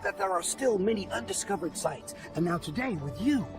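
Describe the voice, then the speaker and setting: An actor playing an earnest, measured scholarly mentor in a sci-fi video game. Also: male voice, high-pitched, animated, over music. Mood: solemn, hopeful. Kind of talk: dramatic video game acting.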